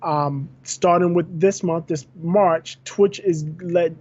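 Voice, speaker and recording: A man's voice: high-pitched, steady tone, darkish tone. Man reading gaming news, slightly muffled. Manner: reads news items, halting with fillers. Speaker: podcast co-host. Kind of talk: casual gaming news podcast.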